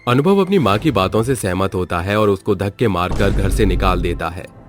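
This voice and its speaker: A man's voice: low-pitched, expressive, normal speed, music in the background. A man narrating a scripted moral story as its storyteller.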